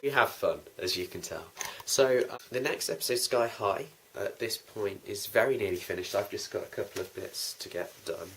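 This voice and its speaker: A young man with a fairly low voice speaks in an easygoing, rambling way, filling gaps with ums. A noticeable layer of background noise sits under the recording. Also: normal speed, hardly any pauses, fairly bright tone. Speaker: producer of a fan audio drama. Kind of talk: casual address to viewers.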